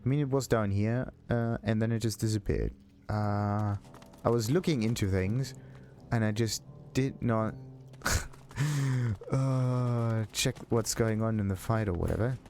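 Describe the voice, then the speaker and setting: Low-voiced man thinking aloud over game music. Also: a little mellow. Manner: halting, puzzled thinking aloud. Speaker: let's play host. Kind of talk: casual game commentary.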